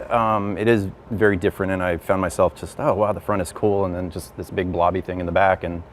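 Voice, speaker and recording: A man's voice: deep voice, fairly even intonation, a little fast, slight background noise. A man on a judging panel, giving a hesitant critique full of ums. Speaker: judge, visual director.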